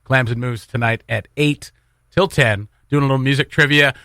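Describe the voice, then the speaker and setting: A man's voice: mid-range voice, fairly even intonation, moderate pace, sharp tone. A man hosting a morning radio show, chatting casually and jokingly, rambling loosely between community announcements.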